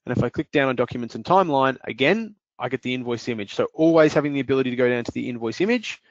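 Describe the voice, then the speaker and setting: A man presenting a screen-share software demo, explaining each step in a steady way with occasional fillers. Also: medium pitch, racing, dry recording, lo-fi sound.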